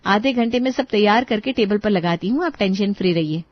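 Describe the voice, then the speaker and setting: A woman playing a polite but exasperated young daughter-in-law in a dramatized story, expressive in the way she speaks. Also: mid-range voice, fairly quick, dry recording, lo-fi sound, natural voice. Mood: polite, cheerful. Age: young adult.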